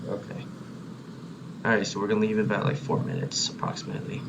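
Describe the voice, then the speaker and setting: A man's voice: fairly low pitch, monotone, quick pace. A man talking casually as a player in a gaming voice chat. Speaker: player in a group bus-driving game. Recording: distant, noisy game voice chat.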